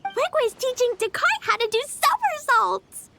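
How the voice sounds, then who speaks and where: A woman voicing a playful, bubbly little witch girl in a video game, full of excitement. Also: very high-pitched, measured pace, gravelly, soft background music, full character voice. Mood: urgent, delighted. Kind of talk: video game character acting.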